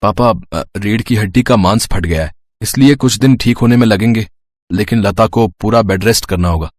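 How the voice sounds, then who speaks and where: A man's voice: fairly low pitch, moderate pace, a little mellow, no echo, clean studio sound, natural voice. A man voicing a dutiful, somewhat bossy adult son and husband in a moral story, with a firm manner. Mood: concerned. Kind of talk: scripted Hindi moral-story cartoon voice.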